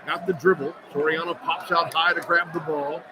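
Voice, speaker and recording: Male radio play-by-play caller, high pitch, clipped excitable basketball delivery over loud arena noise. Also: moderate pace. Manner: clipped running phrases, excitable on big plays. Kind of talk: live basketball play-by-play commentary. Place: courtside at a large indoor arena. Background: loud game ambience.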